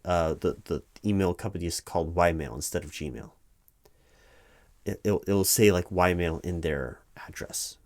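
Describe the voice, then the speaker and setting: A man playing a distracted hiring manager in a comedic one-sided job interview, rambling haltingly and repeating himself. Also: sits low, a little mellow. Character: distracted male corporate hiring manager, hesitant, patient, mildly baffled. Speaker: roleplay performer as hiring manager. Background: computer keyboard typing.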